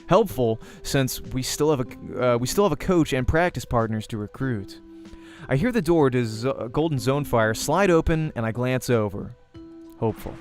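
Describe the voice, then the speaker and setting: A man reading a visual novel aloud as a let's play host, in an expressive storytelling manner. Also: mid-range voice. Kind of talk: narrating a visual novel aloud, acting dialogue.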